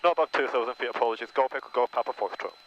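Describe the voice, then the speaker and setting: A man's voice: mid-range voice, rapid-fire, a bit bright. A man piloting a light aircraft, making clipped, formulaic radio calls and reading back instructions over an aviation headset. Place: small propeller aircraft cockpit. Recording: dull, noisy cockpit intercom. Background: engine drone.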